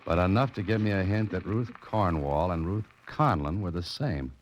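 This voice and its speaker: A man's voice: deep voice, normal speed, lightly acted. An American man playing a tough, wry adventurer-sleuth in a 1940s radio suspense drama, with a clipped, insistent delivery. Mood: hard, probing. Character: tough, wry male adventurer-sleuth, narrating and pressing for answers. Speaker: lead actor and narrator. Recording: noisy, muffled vintage 1940s radio recording.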